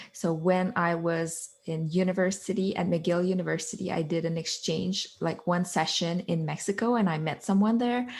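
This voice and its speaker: A woman in her thirties from Quebec with a Quebec French accent, a native French speaker, earnestly telling her story as an interview guest. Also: on the low side. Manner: earnest, chains thoughts with "so" and "like". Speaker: guest, author and coach. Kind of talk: conversational interview.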